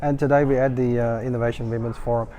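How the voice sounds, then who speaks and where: A man hosting an event interview, eager and halting, with frequent restarts and fillers. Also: medium pitch, little pitch movement, mellow, slight background noise.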